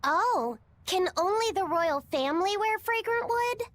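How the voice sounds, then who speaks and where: Very high, childlike young girl. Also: lively intonation, measured pace, dry recording. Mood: innocent, wondering. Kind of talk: scripted video game dialogue.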